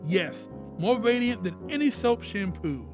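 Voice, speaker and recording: A man's voice: high voice, very animated, unhurried, lo-fi sound, music in the background. A man playing an upbeat, polished 1940s radio announcer, selling with enthusiasm.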